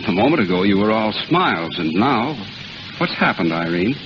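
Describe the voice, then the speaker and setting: An actor playing an earnest, protective young suitor in a 1930s radio melodrama, speaking with urgency. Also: male voice, lowish voice, sing-song, normal speed. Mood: concerned. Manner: urgent, theatrical. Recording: hissy, dull old 1930s radio recording.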